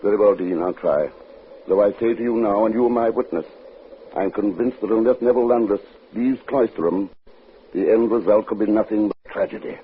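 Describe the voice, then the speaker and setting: A male actor playing a brooding, sinister man who narrates his own dark tale in formal, measured old-time radio style. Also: lowish voice, phone-quality sound, background noise, natural voice. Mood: grave, insistent. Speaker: narrator and lead character. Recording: band-limited vintage radio recording with music.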